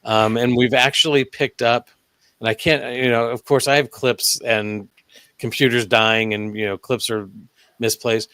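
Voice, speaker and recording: Smooth-voiced man, dry close sound. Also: mid-range voice, a little slow, no echo. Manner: relaxed storytelling with hesitant ums. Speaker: guest paranormal investigator. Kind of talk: casual podcast chat.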